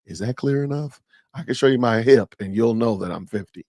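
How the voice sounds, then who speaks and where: A man's voice: medium pitch, brisk, a little mellow, no echo, studio quality. A man in his fifties hosting a casual live stream, chatting with viewers and answering the live chat as he goes. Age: 50s. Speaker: podcast host.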